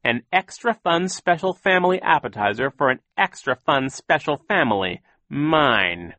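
A man's voice: on the high side, very animated, a little slow, pushed and bright, no echo, voice pushed up, exaggerated voice. A man voicing a scheming villainous sea captain who gushes with false sentiment, in an audiobook story. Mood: gloating, jovial. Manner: theatrical, gushing insincerity.

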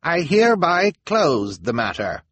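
A man voicing a pompous older male town elder who makes loud declarations, recorded dry and close. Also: a little high, huge pitch swings, measured pace, slightly rough, dry recording, voice pushed up, exaggerated voice. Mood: proclaiming.

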